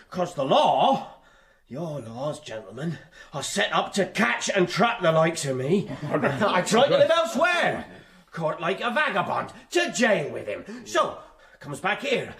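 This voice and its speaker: An actor playing a bitter, wronged country labourer, pleading emphatically in plain rustic speech for a radio drama. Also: male voice, high-pitched, a bit bright, full character voice. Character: defiant, wronged poor country labourer, repeatedly jailed.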